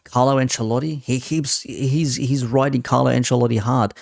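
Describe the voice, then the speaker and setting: A man's voice: medium pitch, steady tone, moderate pace, creaky, darkish tone, dry recording, studio quality. A man commentating on football off the cuff as a host, with a sarcastic, repetitive and rhetorical way of making his points. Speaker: host giving football opinion.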